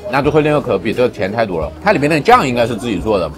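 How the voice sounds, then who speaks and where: Guy doing a chatty food vlog review, medium pitch, clear music in the background. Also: sing-song, very fast. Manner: conversational, explanatory. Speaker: food vlogger, host. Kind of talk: casual on-location food review.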